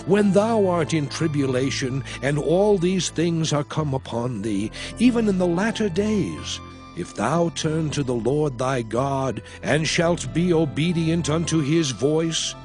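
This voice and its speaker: An actor playing an aged male prophet and lawgiver, exhorting his people in a solemn, measured, declamatory manner in a dramatized scripture recording. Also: a little high, lively intonation, a little mellow. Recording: studio voice over a music score.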